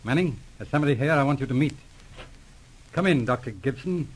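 An actor playing a stern, confident Mountie sergeant, terse and formal, in 1930s radio drama style. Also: male voice, mid-range voice, expressive, fairly quick, slightly rough, poor audio. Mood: stern, triumphant.

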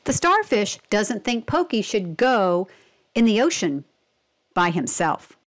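A woman's voice: mid-range voice, very animated, takes it slowly. A woman reading a children's storybook and presenting it instructively, expressively asking young listeners questions. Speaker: read-aloud narrator and presenter.

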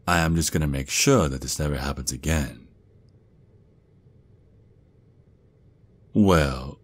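An extremely deep, close and dry voice: a protective Southern werewolf father acted by a man in an ASMR roleplay. He has a folksy drawl and the gruff bearing of an army sergeant who dotes on his child. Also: no room sound. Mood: grimly determined. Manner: doting, folksy, slow drawl with pet names.

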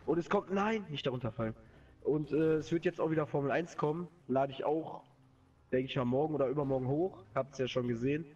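A man's voice: a little high, brisk, a little mellow, slightly rough, distant mic, lo-fi sound. A young man with a cold, chatting casually and using slang while he plays a racing game. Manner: chatty, slangy, laughs easily. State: has a cold. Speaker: channel host (Nico). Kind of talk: casual gameplay commentary.

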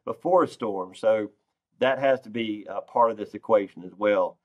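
A man's voice: fairly low pitch, normal speed, highs cut off. A man, giving earnest, plain-spoken interview answers as a farm organization president over a video call. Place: wood-paneled conference room indoors. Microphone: video call, computer microphone.

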